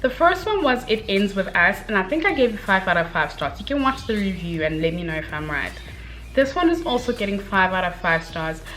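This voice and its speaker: Expressive young woman chatting casually. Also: mid-range voice, brisk, faint music behind, rough recording. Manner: expressive, gushing, informal slangy delivery with dramatic emphasis. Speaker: book review vlogger. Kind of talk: casual chatty book review to camera.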